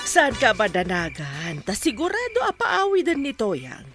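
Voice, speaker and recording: A woman with an Ilocano accent playing a sly, pushy woman in a radio drama, with dramatic drawn-out phrasing. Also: a little high, wildly swooping pitch, a bit bright, soft background music. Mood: coaxing.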